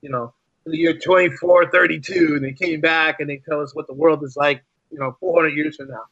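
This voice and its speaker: A man's voice: fairly high pitch, steady tone, a little fast, a bit bright, slightly rough, no echo, lo-fi sound. A talkative man speaking casually and enthusiastically as a guest in an interview. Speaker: podcast guest, writer and podcaster.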